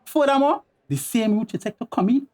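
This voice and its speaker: A man's voice: very high voice, expressive, a little fast, darkish tone. A man playing a defensive, indignant stepfather with a Tobagonian accent in a radio drama. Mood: angry, confrontational. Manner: combative, Creole phrasing. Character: defensive, indignant common-law husband and stepfather.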